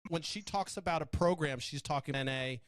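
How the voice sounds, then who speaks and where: A man's voice: fairly high pitch, brisk, clean tone, no room sound, studio quality. A man hosting a casual interview, asking curious questions and sometimes searching for his words. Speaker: podcast host.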